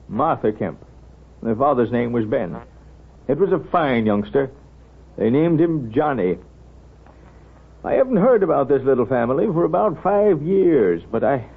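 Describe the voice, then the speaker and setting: An American actor playing a wise, kindly small-town doctor with a folksy, unhurried manner in a 1930s radio drama. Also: male voice, mid-range voice, vocal fry, breathy edge. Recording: muffled, band-limited 1930s radio recording.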